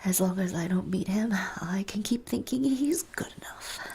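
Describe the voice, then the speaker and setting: An actress playing a weary, wry, wistful confidante in an intimate audio drama, murmuring haltingly. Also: female voice, mid-range voice, dark tone, slightly rough. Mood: tender, resigned.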